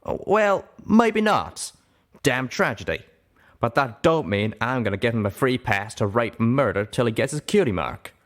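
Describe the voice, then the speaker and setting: A man's voice: medium pitch, sing-song, normal speed, voice pushed up, natural voice. A man voicing a proud, stubborn cowboy pony gunslinger with folksy, drawling speech in a storybook reading. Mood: defiant.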